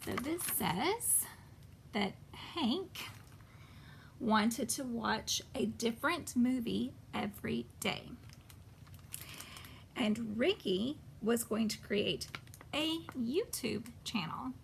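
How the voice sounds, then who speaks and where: A woman hosting a children's storytime, reading a picture book expressively and pausing to ask simple questions. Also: fairly high pitch, creaky, a little hiss or hum. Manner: expressive, asks simple questions, pauses to point things out. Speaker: children's storytime host and reader.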